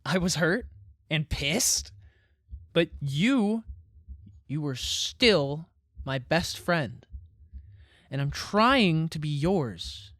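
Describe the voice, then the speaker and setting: A man's voice: on the high side, animated, very slow, long pauses, fairly bright tone, no echo, studio quality, full character voice. An actor playing a patient but wounded young man in an audio drama, talking in a natural, dryly wry and hesitant way. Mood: hurt, angry.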